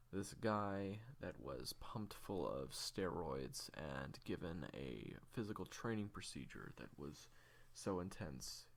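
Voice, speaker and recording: A man playing a low, gravelly, brooding assassin. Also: heavily acted. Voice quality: gravelly, low, slipping toward whisper. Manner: halting, deliberate, with heavy pauses. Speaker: audio roleplay voice actor. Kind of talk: hushed confessional storytelling in audio drama.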